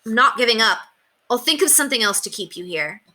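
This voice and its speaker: A woman acts out an earnest boy of about ten from a children's storybook. The voice is high and bright, pleading and emphatic, with big childlike swings in pitch. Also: lively intonation, fast, heavily acted. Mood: determined. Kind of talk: children's storybook read-aloud.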